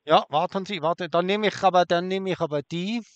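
A man's voice: sits high, a little fast, no echo. A man on a prank phone call, taunting and crude, sitting in his car in a forest. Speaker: scam baiter.

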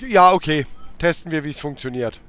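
A man making a prank phone call, answering in a deadpan, joking way and playing along. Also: a little high, brisk. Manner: deadpan, jokey, plays along. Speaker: prank caller.

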